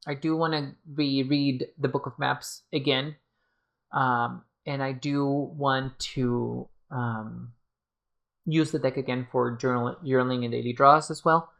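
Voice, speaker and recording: A woman's voice: rumbling low, vocal fry. A woman giving a casual video review, talking hesitantly with many fillers and restarts as she loses her voice. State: losing her voice. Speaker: tarot deck reviewer.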